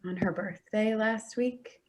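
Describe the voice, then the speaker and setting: A woman's voice: medium pitch, slow pace, lightly acted. A woman playing an exasperated, defensive adult daughter in a staged play reading, speaking tersely and bristling. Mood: guarded, terse.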